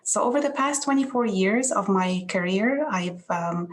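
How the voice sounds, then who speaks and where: A woman in her forties from Egypt, introducing herself on an online panel, earnest and halting with many restarts. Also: mid-range voice.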